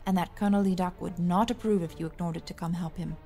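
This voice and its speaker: A woman playing an authoritative rebel commander in an audio drama, composed, formal and measured. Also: fairly low pitch, fairly even intonation, mellow, soft background music, natural voice. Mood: grave.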